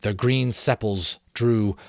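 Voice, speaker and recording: Low-voiced man reading a story, dry sound. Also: vocal fry, no echo. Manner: expressive, measured storytelling. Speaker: amateur audiobook reader. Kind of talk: dramatic audiobook-style narration of a novel.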